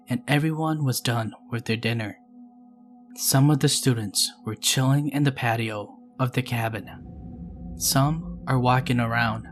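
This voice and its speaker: Calm man reading scary stories. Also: medium pitch. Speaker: storytelling channel host. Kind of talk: scripted narration of scary stories.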